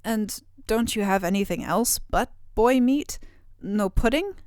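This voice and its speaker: A woman voicing a quick-witted little boy who speaks eagerly and politely. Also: medium pitch, expressive, a little mellow, clean tone, no room sound, clean studio sound, lightly acted. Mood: curious. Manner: eager, questioning.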